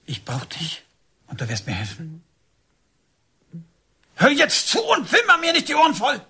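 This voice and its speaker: An actor playing a stern, domineering policeman father in a radio drama, commanding and interrogating in a husky voice. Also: very high voice, wildly swooping pitch, unhurried, sharp tone, full character voice. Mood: low, insistent. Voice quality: husky, rasping. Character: stern, domineering father, a village policeman, pressuring his son. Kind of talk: German radio drama acting.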